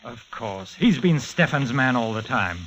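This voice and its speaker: A man's voice: mid-range voice, animated, measured pace, a bit bright. Intense schemer guy, 1940s radio drama, muffled and a bit hissy. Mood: intense, explaining. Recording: muffled, faintly hissy old radio recording.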